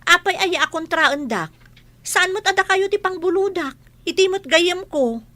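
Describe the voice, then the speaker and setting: An actress with an Ilocano Filipino accent playing a vain, stubborn, demanding wife in a radio drama. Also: female voice, extremely high pitch, expressive, a little slow, bright, forceful tone, no echo, exaggerated voice. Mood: defiant.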